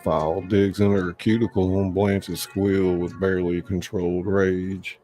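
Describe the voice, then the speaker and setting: Deep-voiced guy narrating a film script, slow and gravelly, bit of music behind. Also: little pitch movement, mellow. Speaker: narrator, reader. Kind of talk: scripted narration of screenplay stage directions. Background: faint music.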